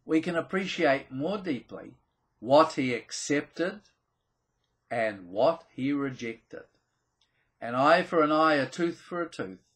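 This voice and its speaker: A man presents a scripted explainer to camera, with deliberate, emphatic phrasing, dramatic pauses and a slightly husky voice. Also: medium pitch, a bit bright. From New Zealand. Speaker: presenter of a theology video channel.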